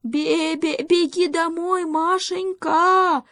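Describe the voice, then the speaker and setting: A woman's voice: high voice, lively intonation, no echo, clean studio sound, voice pushed up. A woman voicing a timid but brave little male goat kid in a children's story, bleating and crying out. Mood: desperate pleading. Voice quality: bleating, thin. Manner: crying out, drawn-out pleading.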